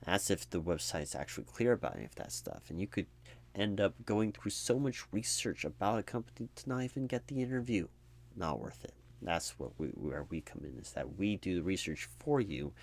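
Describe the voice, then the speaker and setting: A man doing a conversational ASMR roleplay as an apathetic, low-energy recruiter, rambling in a jaded, sardonic way. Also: animated, brisk, creaky. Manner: rambling, sardonic asides mimicking corporate talk.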